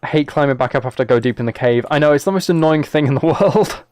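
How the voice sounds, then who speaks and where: A man's voice: a little high, fast, darkish tone, clear, resonant tone, no room sound. A young British man chatting casually on a gaming livestream, with a wry, playfully exasperated way of talking. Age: late teens to early 20s. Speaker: live streamer.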